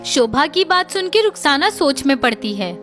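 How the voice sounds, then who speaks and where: A woman's voice: high-pitched, sing-song, normal speed, fairly bright tone, soft background music. A woman narrating a scripted children's story as its storyteller.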